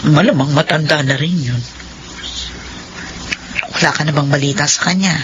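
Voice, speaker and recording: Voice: medium pitch, normal speed, lightly acted. An actor playing a blunt, inquisitive middle-aged friend in a Filipino radio drama. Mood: blunt, nosy. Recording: muffled radio drama with faint noise.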